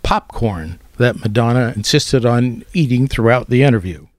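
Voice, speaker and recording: A man's voice: mid-range voice, lively intonation, normal speed, no room sound. Dry, close male narrator. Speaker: narrator introducing archive tape. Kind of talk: explanatory narration.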